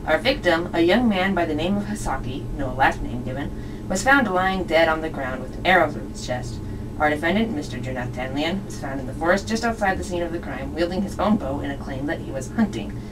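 A woman voicing a cool, condescending male prosecutor, formal and measured, in an amateur puppet show. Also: sits low, expressive, pitched below the performer's own voice. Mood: composed. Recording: distant mic with clear background noise.

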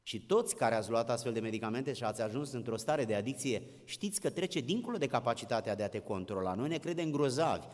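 A man's voice: medium pitch, animated, hardly any pauses, a little mellow. A man preaching a sermon in a plain-spoken, didactic manner, addressing his listeners directly. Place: large indoor hall with long reverb. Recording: large reverberant hall.

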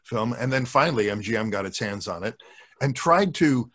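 A man in his sixties talking as a podcast guest in a casual, thoughtful, halting way, close to a desk microphone at home. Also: mid-range voice, lively intonation, fairly bright tone. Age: 60s. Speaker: podcast guest, radio show producer. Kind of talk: casual discussion of old radio and film. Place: home room, video call. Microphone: large desk microphone, close.

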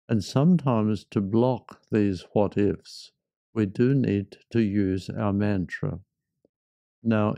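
A man leading a guided meditation in a measured, reflective manner with frequent pauses. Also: fairly low pitch, gravelly, mellow, dry recording.

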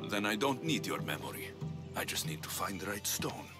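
Blunt, animated mercenary hero. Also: male voice, on the low side, moderate pace. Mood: determined. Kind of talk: video game acting. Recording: music behind the voice.

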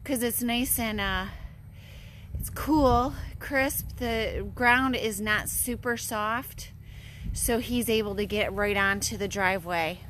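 Slow-talking American woman over loud engine noise. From Western New York, USA. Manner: unhurried, addressing viewers as guys. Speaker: homestead vlogger. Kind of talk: casual live-stream chat. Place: outdoors on a farm driveway. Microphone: handheld phone. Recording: noisy outdoor phone, tractor engine. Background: engine rumble and outdoor noise.

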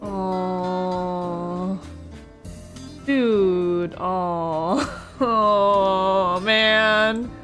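A woman's voice: medium pitch, steady tone, drawn-out words, fairly bright tone. A young woman streamer giving casual, rambling reaction commentary on a story game, giggling and trailing off mid-thought, with faint game music behind. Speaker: let's play streamer.

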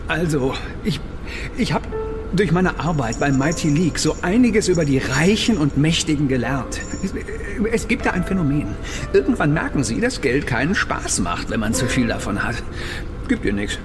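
Nervous male captive, radio drama: evasive, repetitive, stumbling speech, fairly high voice. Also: big pitch swings, over music. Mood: sly, lecturing. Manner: halting, stammering, repeats himself. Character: nervous, evasive captive leak-platform man, wheedling and self-important. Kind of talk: German radio drama acting.

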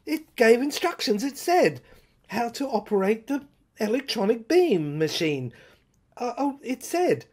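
High, husky woman in a halting dramatic monologue. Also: sing-song, darkish tone. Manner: halting short phrases with pauses, sometimes dropping to a whisper. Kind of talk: dramatic first-person monologue, audio play narration.

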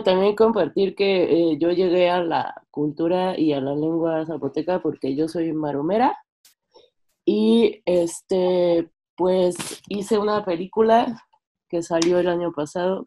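Mexican woman, twenties, lowish voice, conversational and halting, informal sharing over a video call from home. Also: warm tone. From Mexico, French-Mexican. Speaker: seminar participant, filmmaker. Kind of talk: informal sharing in an online discussion. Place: bedroom, indoors on a video call. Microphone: computer microphone on video call.

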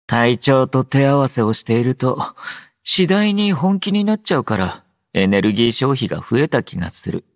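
An actor playing a drowsy, low-energy young male android soldier in an anime game, aloof yet gentle, with a languid, understated delivery. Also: sing-song, moderate pace, no echo, clean studio sound. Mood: drowsy, nonchalant. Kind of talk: Japanese anime game character voice lines.

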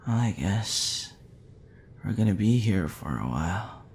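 A man playing a tipsy, smitten young man in an intimate ASMR roleplay, shy, tender and halting in a hesitant murmur. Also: lowish voice, lively intonation, creaky, a little mellow, slight background noise, voice pushed down. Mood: surprised, amused.

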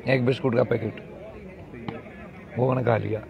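A slightly hoarse man talks casually to his viewers, his voice gravelly with fry. He chats in a loose, friendly running commentary. A dense crowd murmurs all around. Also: medium pitch, steady tone, moderate pace, lo-fi sound. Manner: chatty, talks straight to viewers. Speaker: livestream commentator. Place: outdoor floodlit volleyball ground at night.

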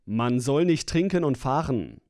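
A man's voice: medium pitch, expressive, measured pace, a little mellow, dry recording, studio quality. A man reading phrases for a language course, splitting and repeating them with careful enunciation. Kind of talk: slow, clear phrase-by-phrase repetition.

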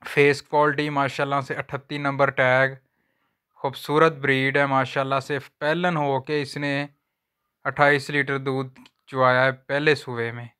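A man walks viewers through cattle for sale on a farm, talking in plain, unhurried sales patter and filming on a handheld phone outdoors. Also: mid-range voice, level tone, bright, forceful tone, clean tone. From Pakistan. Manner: repeats a stock religious phrase often. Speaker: livestock seller vlogger. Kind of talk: casual sales walkthrough.

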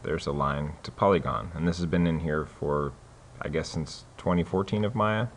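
A man hosting a casual screen-recorded software tutorial, relaxed and full of fillers and restarts. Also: very deep voice, normal speed, slight background noise.

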